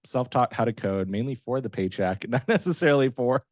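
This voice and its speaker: A man's voice: medium pitch, expressive, fairly quick, darkish tone, no echo, muffled recording. A young American man telling his own story informally as a panelist, in a casual, chuckly way. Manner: casual, chuckles often while talking. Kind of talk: informal personal account at a career panel.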